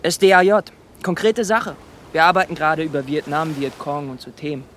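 A man's voice: on the high side, steady tone, a little fast, a bit bright, a little hiss or hum, lightly acted. A man playing a cheeky, slightly awkward teenage boy with a casual, hesitant way of talking in a radio play. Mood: self-important. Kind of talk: German radio play acting.

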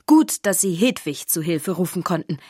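An actress playing a chatty, down-to-earth castle helper who narrates a children's radio play wryly and matter-of-factly. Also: female voice, on the low side, animated, normal speed, warm tone, husky, no room sound, clean studio sound. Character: chatty, down-to-earth woman living and helping in a castle.

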